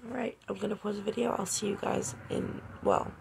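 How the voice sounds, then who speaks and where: A young woman vlogging a makeup tutorial at home, talking casually and softly, often near a whisper, with a slightly raspy voice, recorded close on a phone in a bedroom. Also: medium pitch, fairly even intonation, fairly quick, a little hiss or hum. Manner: soft-spoken, often near a whisper while working. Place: bedroom, indoors. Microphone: phone camera close up.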